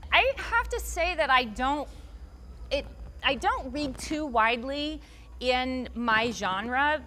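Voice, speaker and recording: A woman's voice: high voice, animated, very slow, long pauses, pushed and bright, a little hiss or hum. A woman author answering interview questions in a chatty, rambling way, restarting her sentences often and lifting her voice for emphasis.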